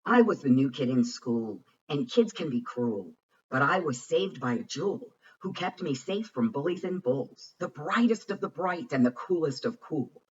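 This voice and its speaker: A man reading a rhyming children's storybook aloud with a rhythmic, expressive and deliberate delivery. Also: on the high side, breathy edge, dull audio. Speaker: reader of a children's picture book.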